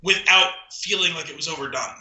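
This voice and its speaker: A man with a high-pitched, very sharp and bright voice co-hosts a casual podcast. He offers opinions conversationally, and the sound around his voice is noticeably roomy and echoey. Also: brisk. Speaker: podcast co-host. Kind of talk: casual opinionated film discussion.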